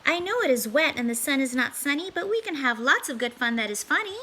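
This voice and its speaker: A woman's voice: high-pitched, animated, fairly quick, pushed and bright, slight background noise, pitched above the performer's own voice. A woman voicing a playful, mischievous male cat from a storybook, showy, eager and boastful. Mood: inviting.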